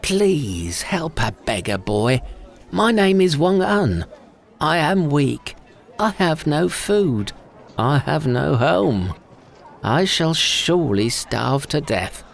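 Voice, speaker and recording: A woman's voice: low voice, very animated, slow, gravelly, full character voice. Wang Un, a woman voicing a cheeky beggar boy. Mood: pleading.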